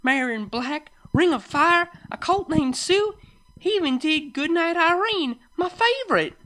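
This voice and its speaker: A reader voicing an excitable, polite young farm pony mare in a story. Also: medium pitch, normal speed, pitched above the performer's own voice. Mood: excited. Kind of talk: fan fiction character reading.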